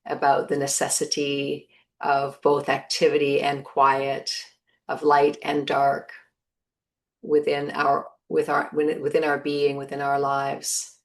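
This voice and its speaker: A woman leading a guided meditation in a measured manner, pausing between short phrases. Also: low-pitched, completely flat delivery.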